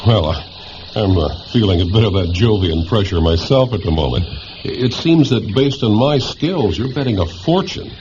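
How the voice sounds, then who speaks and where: Male actor as diplomatic scientist, hesitant, earnest, lively intonation, sci-fi radio drama, lo-fi sound. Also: on the low side, normal speed, close to an everyday voice. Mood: uneasy, polite. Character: polite, diplomatic male visiting scientist and psychological investigator. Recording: muffled lo-fi audio, faint background noise.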